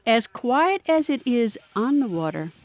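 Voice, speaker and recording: A woman's voice: on the high side, big pitch swings, unhurried, slight background noise. A woman reading scripted narration as the narrator of a documentary.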